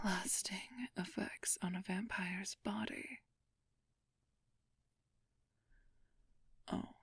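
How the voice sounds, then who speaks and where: Woman playing a breathy, whispering seductive vampire thief. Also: on the low side, dry recording, clean studio sound, full character voice. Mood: predatory, hungry. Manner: teasing, condescending, drawn-out purring delivery. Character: flirtatious ancient female vampire thief, haughty and predatory. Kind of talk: whispered ASMR roleplay.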